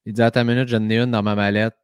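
A man's voice: lowish voice, racing, clear, resonant tone, no echo, clean studio sound. A man with a Québécois French accent hosting a podcast, telling stories in a casual chat, full of "tu sais" fillers. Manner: animated storytelling, frequent "tu sais". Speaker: podcast host.

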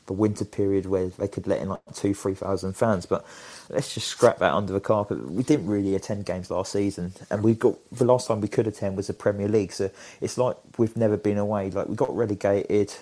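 A chatty man talks eagerly about football as a podcast co-host. His voice sits fairly low, and he rambles with plenty of fillers. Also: fairly even intonation, a little fast, few pauses, dark tone. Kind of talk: casual football chat.

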